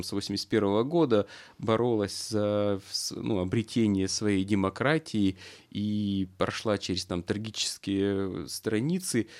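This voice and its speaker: Low-pitched middle-aged man in political discussion. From Russia. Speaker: political commentator, former local political activist. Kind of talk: discussion of politics, spontaneous commentary.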